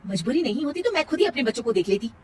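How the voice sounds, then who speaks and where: A woman's voice: on the high side, sing-song, rapid-fire, a little hiss or hum. A woman voicing an overworked young working daughter-in-law in a family cartoon, in a dramatic, exasperated manner. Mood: indignant.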